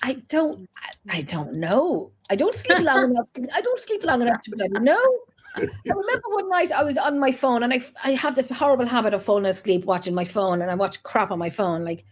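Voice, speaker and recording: A woman's voice: a little high, very animated, fairly quick. An Irish woman hosting a chat show, talking loosely and laughing often. She drops in the odd mild swear word and tells stories with a rambling, friendly ease. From Ireland. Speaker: podcast host. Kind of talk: casual chat.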